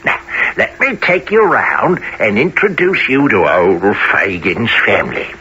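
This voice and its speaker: Oily old rogue, wildly swooping voice. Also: male voice, medium pitch, wildly swooping pitch, unhurried, pushed and bright, husky, exaggerated voice. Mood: oily welcome. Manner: unctuous, fussily courteous. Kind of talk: family radio play acting. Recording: dull lo-fi with faint noise.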